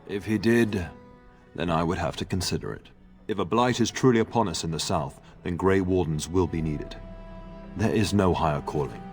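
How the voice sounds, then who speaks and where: Casting: man as fatherly middle-aged lord, proud and genial, fantasy game, lowish voice with music beneath. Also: normal speed, natural voice. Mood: earnest, fatherly. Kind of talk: video game voice acting.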